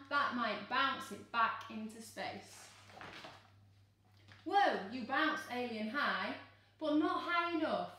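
Echoey, unhurried woman reading a storybook. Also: sits high. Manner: expressive, exclaiming, coaxing young listeners. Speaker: teacher reading a picture book to children. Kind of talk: animated children's storybook read-aloud. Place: living room, seated on a sofa. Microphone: camera a few metres away. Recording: echoey room, distant microphone.

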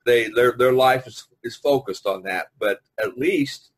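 Husky man chatting casually. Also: mid-range voice, a little slow. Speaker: podcast host. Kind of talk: casual group chat among friends. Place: online video conference call.

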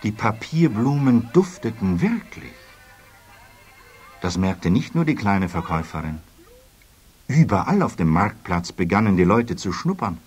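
A man narrating a children's story expressively, with a husky voice. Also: lowish voice, big pitch swings, measured pace, pauses often, a little mellow, faint music behind.

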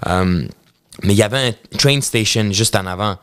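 A man in his thirties with a Quebec French accent, a podcast guest telling his story in an animated way, with many restarts and English words mixed in. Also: fairly low pitch, moderate pace, clean tone, dry recording, clean studio sound. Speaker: podcast guest, professional hockey player. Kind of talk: casual storytelling interview. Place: indoor podcast studio with bookshelves. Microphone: studio podcast mic on boom arm.

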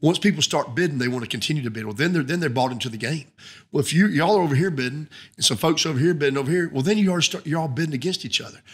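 A man's voice: on the high side, animated, rapid-fire, husky. A middle-aged man with a Southern US accent, explaining his trade in a folksy, repetitive way as a podcast guest on a close studio mic. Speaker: guest, auctioneer. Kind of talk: conversational podcast interview, explaining his business. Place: indoor podcast studio at a round table. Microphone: boom-mounted podcast microphone up close.

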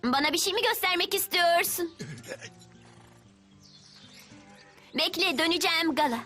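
A woman's voice: very high-pitched, fast talker, sharp tone, soft background music. A woman voicing a stubborn, tender little orphan circus girl in a dubbed cartoon, with childlike, emotional delivery. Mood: gentle, curious.

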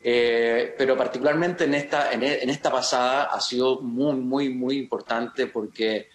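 A man in his sixties, talking casually in an interview over a video call, halting and often restarting his sentences. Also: medium pitch, fairly even intonation. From Chile. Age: 60s. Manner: halting, many restarts and fillers. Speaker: actor, interview guest. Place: home room, video call. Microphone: laptop camera mic on video call. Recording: roomy video call audio.

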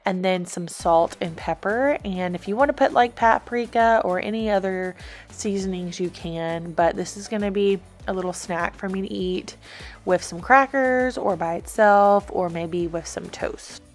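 A woman's voice: medium pitch, unhurried. A woman giving a chatty, informal voice-over as a vlogger explaining meal prep. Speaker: vlogger, host. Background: background music.

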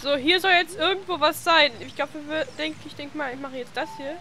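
Young female gamer, bright sharp voice, excitable and playful casual commentary. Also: high-pitched, fairly quick, soft background music. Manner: silly, excitable, breaking into exclamations and singsong. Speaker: let's play host.

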